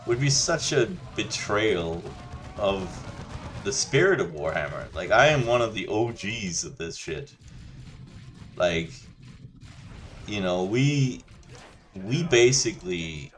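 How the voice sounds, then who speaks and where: Guy ranting casually, low voice, rambly and chill, lots of you know. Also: lively intonation, slow, pauses often, a bit bright, faint music behind. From Denmark. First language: Danish. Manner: rambling, loose and chatty, many restarts and "you know". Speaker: gaming channel host. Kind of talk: casual rant over gameplay.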